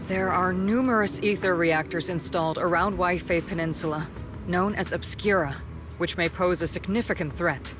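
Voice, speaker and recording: A woman voicing a calm, authoritative female colonel in a video game, speaking in a measured, formal way. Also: on the low side, over music, lightly acted. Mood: formal, businesslike. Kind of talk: scripted video game voice acting.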